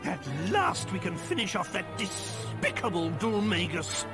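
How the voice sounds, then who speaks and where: Man doing a high, swooping, pompous adventurer. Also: very animated, unhurried. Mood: bossy, triumphant. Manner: declamatory, grandiose, swooping. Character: pompous, bossy, theatrical fellow on a quest. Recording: game music behind the voice.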